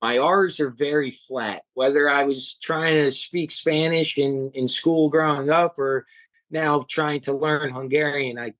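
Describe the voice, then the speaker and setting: Earnest young man, halting speech. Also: a little high, steady tone, a bit bright, no echo. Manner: halting, restarts often, earnest. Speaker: guest, home cook and hiker. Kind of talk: casual interview chat.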